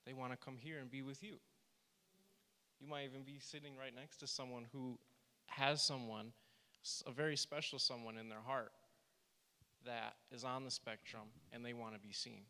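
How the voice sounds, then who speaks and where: A soft-spoken man leads a church workshop, his voice bright and crisply resonant. He leans into each quoted line with earnest weight. The sound carries the long reverb of a church hall. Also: medium pitch, fairly even intonation, normal speed, pauses often. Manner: earnest, reads slide quotes aloud with emphasis. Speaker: therapist presenting a session.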